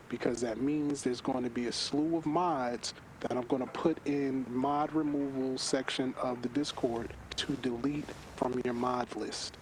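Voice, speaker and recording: A man's voice: mid-range voice, a little hiss or hum, low-quality recording. A man leading an online gaming group, giving an informal briefing in a firm, instructing manner over voice chat.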